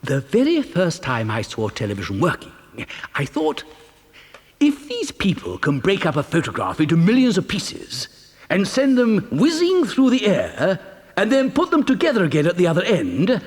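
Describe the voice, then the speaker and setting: Excitable, high-voiced eccentric inventor. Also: male voice, normal speed. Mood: boastful, confiding. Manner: theatrical, stammering, hesitant ums and repetitions. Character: excitable eccentric male chocolate factory owner and inventor. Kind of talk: audio dramatisation of a children's storybook. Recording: long hall-like reverb.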